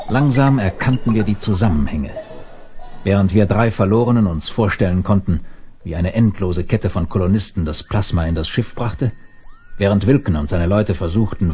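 An actor playing a sober, commanding space leader who tells his own adventure in a radio play, measured and authoritative. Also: low-pitched, a little mellow, breathy edge. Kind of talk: 1970s German radio play.

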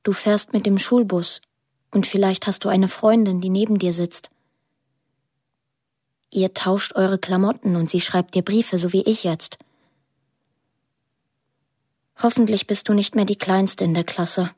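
An actress voicing a lonely, imaginative eight-year-old girl in a radio drama monologue, plain, deliberate and earnest. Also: mid-range voice, steady tone, darkish tone, husky, no room sound, studio quality, close to an everyday voice. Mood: tender, hopeful.

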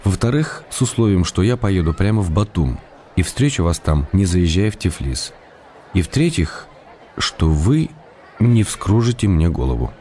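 An actor playing a playful, courteous male writer who reads his affectionate letters in a measured, teasing way for a radio play. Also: low voice, sing-song, mellow, slight background noise. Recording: radio drama with clear music behind.

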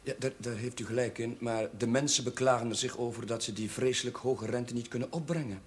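A man's voice: mid-range voice, fairly even intonation, brisk, lightly acted. A man playing an earnest, awkward, well-meaning village chaplain in a television drama, speaking politely and with hesitation. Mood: hesitant, insistent. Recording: faint noise, old television soundtrack.

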